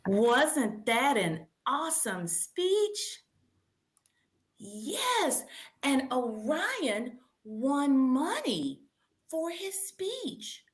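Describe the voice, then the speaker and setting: Animated woman talking slowly to children. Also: high voice. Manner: animated, prompting with questions. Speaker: read-aloud host for children's camp. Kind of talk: slow, simple presenting to children.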